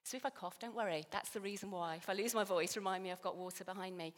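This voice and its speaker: A woman preaching from the front of a church, her voice a little worn and hoarse. She talks in a chatty, self-deprecating and animated way. Her words ring out with a long, echoing church reverb. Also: mid-range voice, quick pace. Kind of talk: sermon. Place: church hall.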